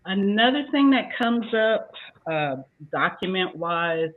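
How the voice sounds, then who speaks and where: Older woman, lively storytelling, tinny sound. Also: mid-range voice, slow pace. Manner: lively storyteller acting out both sides of a conversation. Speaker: talk show panelist. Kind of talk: casual advice talk.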